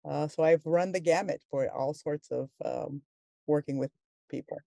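A sign language interpreter voicing a panelist's words on a video call, halting and full of fillers and restarts. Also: medium pitch, gravelly, dark tone, no room sound.

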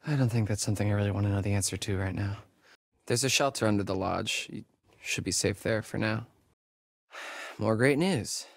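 An actor playing a sarcastic young American camp counselor in a horror video game, hesitant and conversational in delivery. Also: male voice, on the low side, moderate pace, creaky, dry recording, studio quality. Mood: uneasy, then sardonic. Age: young adult. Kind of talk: naturalistic horror game dialogue.

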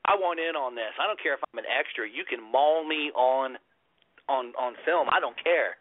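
A male horror podcast host asking guests questions, enthusiastic and quick to swear and laugh along. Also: medium pitch, dry recording. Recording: tinny phone-like audio.

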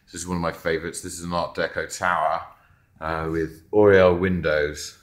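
Low flat-voiced man touring a mural. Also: moderate pace, reverberant. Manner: relaxed, offhand, pointing things out. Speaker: muralist giving a walkthrough. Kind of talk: casual narrated video tour. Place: indoor office lunchroom.

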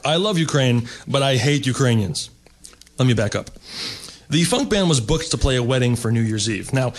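Middle-aged man from the US, seated stand-up storyteller; wry, rambling delivery. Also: medium pitch. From United States. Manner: wry, rambling with fillers, mock-snobbish asides. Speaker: stand-up comedian. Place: seated at a table, indoor stage.

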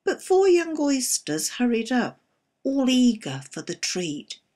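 A woman expressively reciting a narrative poem in a measured storytelling manner, with a slightly husky voice. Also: on the high side, sing-song, dark tone. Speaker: poetry reader.

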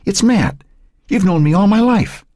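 A man's voice: fairly low pitch, big pitch swings, moderate pace, dark tone, breathy edge, dry recording, studio quality, voice pushed up, full character voice. A man voicing a worried, earnest teenage grandson in an audiobook, anxious and pleading. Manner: anxious, questioning, prompting.

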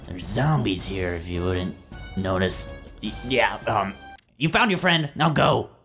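A man voicing a gruff, annoyed evil scientist with a slow drawl in an improvised game roleplay. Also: lowish voice, expressive, fairly bright tone, faint music behind. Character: gruff evil scientist guarding his moon base.

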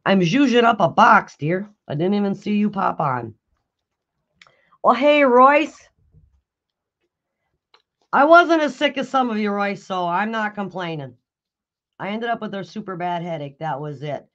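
A raspy, hoarse woman hosting a live craft tutorial, chatting with viewers and answering their comments while she works. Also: medium pitch, animated, unhurried, long pauses, fairly bright tone, highs cut off. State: hoarse after illness. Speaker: craft video host. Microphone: overhead camera on a craft table.

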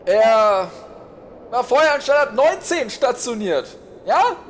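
A man's voice: very high-pitched, very animated, takes it slowly, a little hiss or hum, pitched above the performer's own voice, exaggerated voice. A man voicing a weary, sardonic, exasperated colleague in an audio story, with a dry sarcastic delivery. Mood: dry, resigned.

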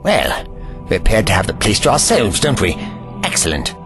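A man's voice: lowish voice, wildly swooping pitch, normal speed, fairly bright tone, faint music behind, exaggerated voice. A man playing an eccentric old time-travelling Doctor, musing and patronising with old-fashioned courtesy, in an audio drama. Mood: brisk musing.

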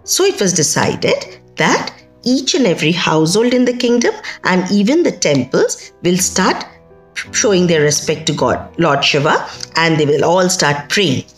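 A middle-aged woman with an Indian accent telling a folktale in an expressive, emphatic way, with conversational asides. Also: lowish voice, unhurried. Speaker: storyteller. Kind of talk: dramatic oral folktale storytelling. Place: indoors, small plain room. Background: music.

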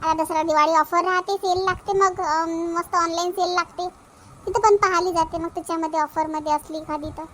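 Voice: very high voice, fairly even intonation, fairly quick, a bit bright, a little hiss or hum. A performer voicing a chatty young married village housewife in a cartoon family comedy, with a childlike voice and a colloquial Vidarbha Marathi accent.

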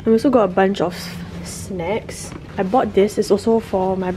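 Young female travel vlogger, mid-pitched, casual chat to camera, noisy surroundings. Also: fairly even intonation, moderate pace. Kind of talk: casual vlog chat to viewers. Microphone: handheld camera at arm's length. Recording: clear background noise.

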